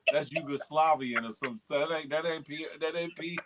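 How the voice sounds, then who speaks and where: A man's voice: fairly high pitch, normal speed, a loud crowd. A man hosting a morning show, teasing his co-host with loose, slangy talk and frequent laughter. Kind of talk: casual morning show banter.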